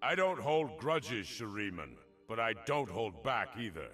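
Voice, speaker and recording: A male actor playing a cocky, wisecracking old veteran warrior in a fantasy video game, gravelly and wry as he taunts. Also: lowish voice, big pitch swings, a little slow, fairly bright tone. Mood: confident, defiant. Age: older. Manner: wry, taunting, pun-loving delivery. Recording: music behind the voice.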